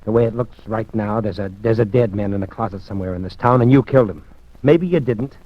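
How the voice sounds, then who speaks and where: A man's voice: lowish voice, quick pace, dry recording, lightly acted. A man playing a tough, clipped police detective. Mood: steady firm. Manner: firm, clipped, calls others kid. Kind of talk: 1940s radio acting. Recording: dull old radio broadcast.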